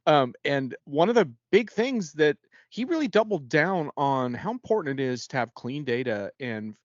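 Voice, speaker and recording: A man's voice: mid-range voice, dry recording, dull audio. A man speaking as a guest analyst in an unscripted panel discussion, in a conversational way with frequent self-corrections.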